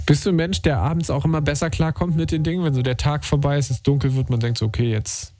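A man's voice: fairly high pitch, steady tone, fast talker, dark tone, no room sound. A man hosting a radio call-in show, chatting casually in slangy, informal language.

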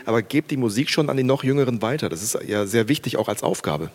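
A man's voice: medium pitch, animated, quick pace. A man hosting a live concert, announcing performers and interviewing a student on stage in an upbeat way. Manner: upbeat, announcing names and calling for applause. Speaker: concert host.

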